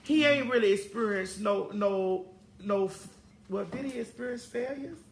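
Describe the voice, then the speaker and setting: A woman's voice: mid-range voice, normal speed, creaky. A woman in her forties hosting a casual talk show, profane and preachy, drawling out her stories. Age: 40s. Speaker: host.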